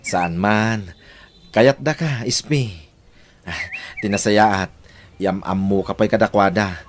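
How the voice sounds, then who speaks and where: A man's voice: on the low side, slow, a little hiss or hum. A man with an Ilocano accent playing a devoted, determined young lover in an audio drama. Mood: devoted, reassuring. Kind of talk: romantic audio drama acting.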